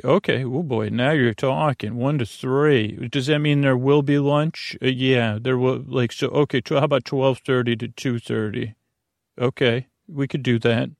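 A man with a creaky voice hosting a sleep podcast in a meandering, halting monologue that often interrupts itself. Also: mid-range voice, no room sound, clean studio sound. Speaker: podcast host.

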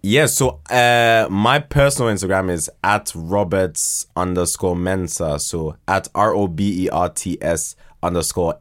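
A man chatting casually as a podcast guest, haltingly repeating words and phrases as he goes. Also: sits low, fairly bright tone. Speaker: podcast guest, fiction podcast co-creator.

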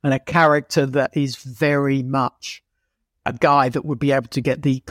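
A man's voice: mid-range voice, little pitch movement, moderate pace, no room sound, studio quality. A man co-hosting a casual, conversational chat about motorsport. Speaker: podcast co-host.

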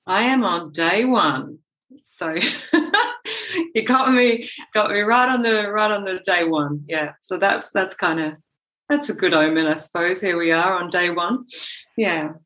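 Australian woman, therapist guest, lowish voice, relaxed, persuasive, laughs easily. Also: unhurried. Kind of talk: casual podcast interview.

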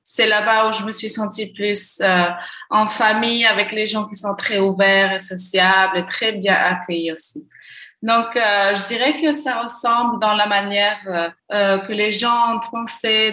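A young Moroccan woman answering interview questions, often pausing and restarting as she searches for words. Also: mid-range voice, steady tone, bright, forceful tone, echoey room, rough recording. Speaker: podcast guest, gender equality consultant.

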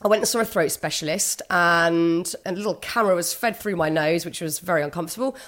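A middle-aged woman talking candidly as an interview guest, earnest and a little husky, often restarting her sentences. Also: fairly low pitch, brisk, fairly bright tone. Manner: candid, earnest, with frequent restarts and quoted inner thoughts. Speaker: guest author and broadcaster. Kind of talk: conversational interview.

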